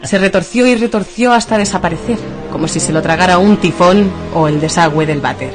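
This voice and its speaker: A woman narrator with a slightly low voice and an accent from Spain. She reads a scripted radio story over a clear music bed. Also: fairly quick.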